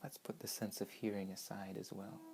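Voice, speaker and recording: Woman meditation guide, very low voice, measured phrasing with long pauses, prominent flute music behind. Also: little pitch movement, gravelly.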